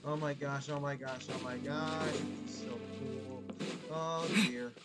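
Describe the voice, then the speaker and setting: A man giving casual live gameplay commentary, excitable and often repeating himself. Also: mid-range voice, unhurried. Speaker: game streamer. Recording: loud game music over voice. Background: video game music.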